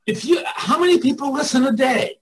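A man's voice: very high-pitched, animated, fairly quick. An older man giving informal one-on-one coaching in a blunt, emphatic way, thinking aloud as he goes. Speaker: artists' coach and mentor.

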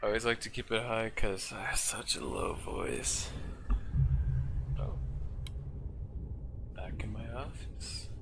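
A man's voice: lowish voice, fairly even intonation, takes it slowly, pauses often, heavy background noise. Laid-back man narrating a horror game. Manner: quiet, then jumpy and yelling when scared. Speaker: gamer doing a walkthrough. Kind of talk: casual let's play commentary. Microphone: gaming mic with high gain. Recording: voice over loud game music.

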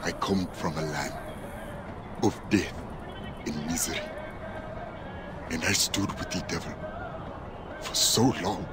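An actor playing a zealous, middle-aged preacher haunted by sin in a video game, with a grandiose, sermonizing delivery. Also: male voice, low-pitched, takes it slowly, pauses often, music in the background. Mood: brooding, remorseful. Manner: fervent, grandiose, quoting scripture like a sermon. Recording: game audio with clear outdoor ambience noise.

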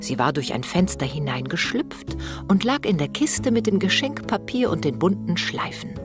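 Low-voiced, lively woman storyteller. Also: moderate pace, over music. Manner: expressive read-aloud delivery. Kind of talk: scripted children's bedtime story narration.

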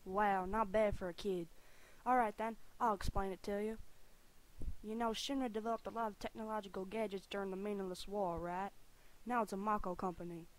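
A woman plays a bitter, swearing pilot captain in a game fandub. He is brash and grumbling, with a redneck way of talking and a gruff temper. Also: medium pitch, steady tone, a little fast, slight background noise, close to an everyday voice, poor audio. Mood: boastful, gruff. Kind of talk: anime-style game fandub audition.

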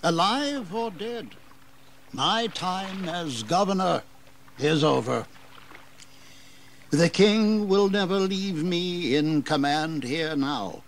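Defiant old colonial governor, audio drama. Slow, high, formal delivery over audible noise. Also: male voice, lively intonation, heavily acted. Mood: resigned, proud. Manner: declamatory, formal. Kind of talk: historical audio drama acting. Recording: audible background noise and ambience.

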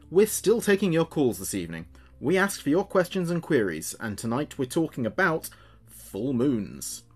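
A man playing a chatty late-night local radio DJ, with a measured presenter's delivery. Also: medium pitch. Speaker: radio host. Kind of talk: scripted late-night radio show narration.

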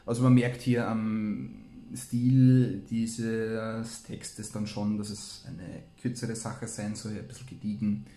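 A man's voice: fairly low pitch, fairly even intonation, takes it slowly, warm tone. A man, hosting, reading aloud and commenting casually. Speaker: host. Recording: roomy, echoey room sound.

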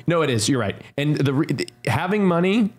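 A man's voice: on the high side, sing-song, fairly quick. Rambling young man laughing at himself. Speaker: podcast co-host. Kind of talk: casual banter. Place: podcast studio around a table. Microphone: desk studio mic.

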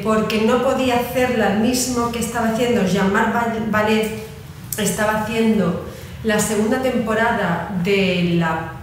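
A woman with a Spanish accent on a panel, groping for a title and exclaiming as she remembers. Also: medium pitch. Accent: Spanish from Spain. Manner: searching for words, exclamatory. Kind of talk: casual panel chat. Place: large echoey library room. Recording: echoey room, distant from the mic, background noise.

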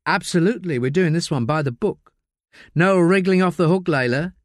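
A man voicing a brisk, firm woman police detective with a clipped manner. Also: fairly high pitch, no echo, clean studio sound, voice pushed up, lightly acted.

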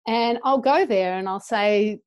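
A woman's voice: fairly high pitch, measured pace. A middle-aged woman, speaking passionately as a guest over a video call from a small room. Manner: passionate, animated, gestures a lot with her hands. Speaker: guest educator. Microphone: earphone mic on a video call.

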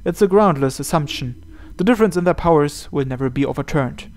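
A man's voice: fairly high pitch, lively intonation, fairly quick, a little mellow. A man narrating a visual novel aloud as host, reading the scripted text.

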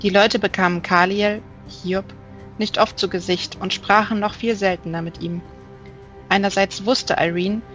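A woman reading literary narration as an audiobook, measured and careful. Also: medium pitch, dull audio. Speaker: audiobook reader. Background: music.

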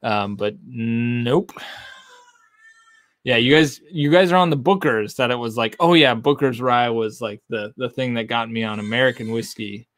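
Man in his thirties, from Texas, relaxed livestream chat, dry close desk mic. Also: medium pitch, moderate pace, dry recording. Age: 30s. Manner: relaxed, chatty, reading viewer comments aloud. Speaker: whiskey channel host. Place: home bar with lit shelves of bottles. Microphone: desk condenser mic.

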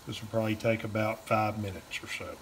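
A man's voice: fairly low pitch, flat delivery, brisk, a bit bright, slight background noise. A man, hosting a cooking demonstration in his home kitchen and walking plainly through each step. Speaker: cooking show host. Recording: roomy kitchen echo.

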